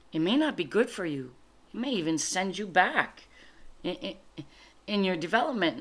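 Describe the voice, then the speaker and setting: Low-voiced woman, native French speaker, halting delivery. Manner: halting, reflective, explains in short phrases. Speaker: spiritual card reader. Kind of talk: informal spiritual guidance, reading cards aloud and commenting.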